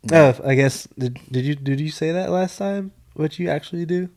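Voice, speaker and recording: A man's voice: fairly high pitch, normal speed, a little mellow. A man co-hosting a casual podcast, laid-back and encouraging, often repeating his phrases. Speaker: podcast co-host. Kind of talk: casual chat.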